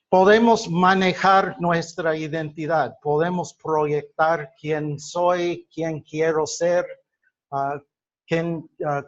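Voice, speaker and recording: A man gives an explanatory lecture, illustrating it with personal examples. His voice sits slightly high and he speaks very slowly and deliberately, halting between phrases. The recording is dull and lo-fi. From United States. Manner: halting, deliberate, with drawn-out pauses. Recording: dull lo-fi sound.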